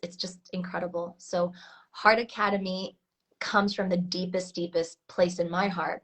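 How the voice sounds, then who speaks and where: A woman in her thirties with an American accent speaks in a fairly low voice. She talks earnestly and casually as an interview guest, with frequent fillers and restarts. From Switzerland. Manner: earnest, many restarts and fillers, laughs often. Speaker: coach, guest.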